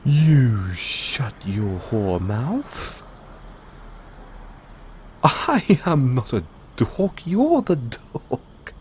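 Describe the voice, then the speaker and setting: A man's voice: medium pitch, a little mellow, slight background noise. A man playing a playful, affectionate boyfriend in an intimate bedtime audio roleplay. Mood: playful teasing. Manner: teasing, affectionate.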